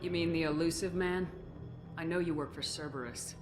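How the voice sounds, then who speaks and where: A woman's voice: low voice, fairly even intonation, normal speed, over music, natural voice. A woman playing a resolute, principled military commander in a video game, speaking in a firm, no-nonsense, questioning manner. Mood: firm, wary. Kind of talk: video game cutscene acting.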